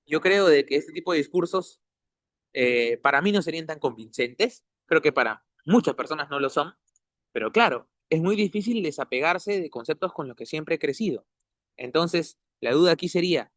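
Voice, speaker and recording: Man, measured academic manner, fairly high voice, dry close sound. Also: a bit bright, no room sound. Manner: measured, academic, ends points with tag questions. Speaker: interview guest. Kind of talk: analytical conversational commentary.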